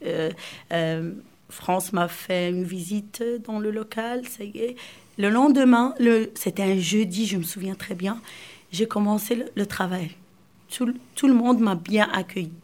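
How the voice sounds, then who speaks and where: A woman from Morocco, whose first language is Arabic, answers interview questions in a halting, friendly way. She repeats words and restarts her phrases, breaking into laughs often. Her voice sits at an ordinary middle pitch. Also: a little mellow. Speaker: interview guest. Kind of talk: casual interview chat.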